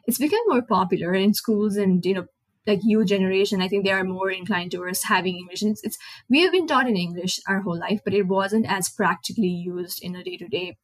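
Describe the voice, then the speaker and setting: A woman's voice: medium pitch, brisk, no echo, rough recording. A young woman from Nepal with a Nepali accent, a native Nepali speaker hosting a casual solo podcast in English, rambling and correcting herself as she goes. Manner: rambling, self-correcting, chatty. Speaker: podcast host.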